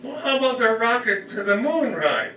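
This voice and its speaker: A man's voice: very high voice, sing-song, normal speed, crowd noise. A man playing the eager, placating grown son of an immigrant mother in a comic radio play. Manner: explaining, placating. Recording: distant roomy mic, faint noise.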